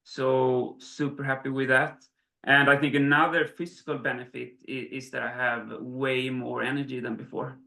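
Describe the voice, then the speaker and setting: A man's voice: mid-range voice, little pitch movement, normal speed, gravelly, pushed and bright. A man from Sweden talking as an interview guest, hesitant, with many restarts and fillers. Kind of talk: casual interview. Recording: roomy echo.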